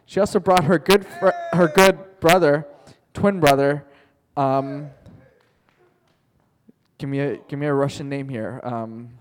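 A man's voice: on the high side, steady tone, measured pace, big-room sound, slight background noise. A male teacher telling a playful made-up story to his class, chatty and joking, calling on students by name.

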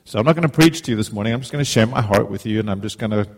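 A man's voice: fairly low pitch, very fast, vocal fry. A middle-aged man from Zimbabwe, giving an informal, heartfelt sermon as a guest preacher, often repeating words as he starts a phrase.

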